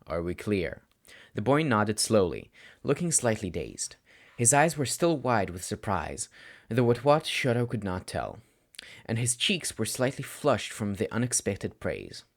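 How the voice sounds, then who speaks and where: A man with a fairly low voice narrates fiction from a script. He reads as a storyteller would for an audiobook. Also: very animated, a little slow. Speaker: podfic narrator.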